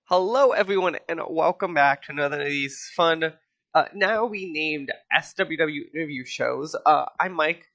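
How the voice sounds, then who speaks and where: A man with a cold hosts a podcast in a bright, nasal voice that sits fairly high. The audio is a bit muffled and dull. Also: moderate pace. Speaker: podcast host. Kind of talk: casual interview hosting.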